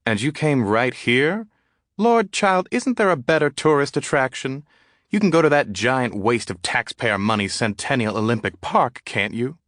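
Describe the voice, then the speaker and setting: A man's voice: mid-range voice, animated, normal speed, a bit bright, dry recording, clean studio sound, voice pushed up, close to an everyday voice. A man voicing a flamboyant, gossipy Southern man with a drawl in an audiobook. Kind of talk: audiobook character voice.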